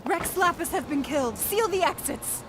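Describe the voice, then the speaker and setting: High-voiced solemn commanding official. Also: female voice, expressive, moderate pace, background noise. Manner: solemn, authoritative. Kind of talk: video game cutscene acting.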